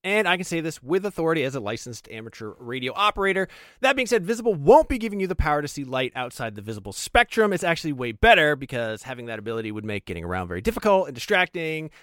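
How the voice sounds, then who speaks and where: A man reading a scripted ad in a deadpan, jokey, mock-urgent way. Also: high-pitched, dry recording, studio quality. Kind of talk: scripted ad read.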